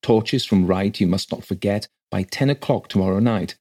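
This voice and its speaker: A man's voice: lowish voice, normal speed, darkish tone, close to an everyday voice. Country rector, played by a male reader: authoritative, educated, formal and businesslike, for a storybook ghost tale. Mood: impatient, businesslike. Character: brisk, educated, slightly impatient country rector.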